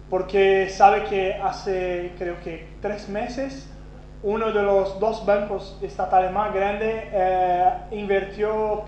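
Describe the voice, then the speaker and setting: Portuguese-speaking Brazilian man at a conference, high-pitched, self-deprecating, many restarts, echoey room. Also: darkish tone. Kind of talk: conference talk. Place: conference hall. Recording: roomy, dull lo-fi sound with faint hum.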